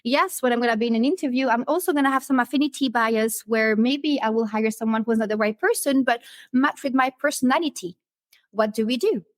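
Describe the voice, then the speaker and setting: A woman's voice: a little high, a little fast, no room sound, clean studio sound. A woman speaking emphatically in a conversational interview, repeating phrases to stress her point. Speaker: entrepreneur in conversation.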